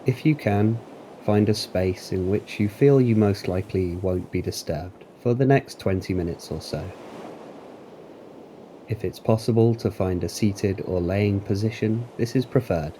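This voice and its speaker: A man narrating a guided meditation in a calm, deliberate, soothing manner with long pauses. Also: lowish voice, a little hiss or hum, rough recording. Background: waves.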